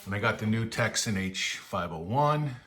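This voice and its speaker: Bright-voiced, low-pitched man demonstrating gear. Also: animated, normal speed, slight background noise. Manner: blunt, opinionated. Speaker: radio hobbyist demonstrating equipment. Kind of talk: casual unscripted gear demonstration.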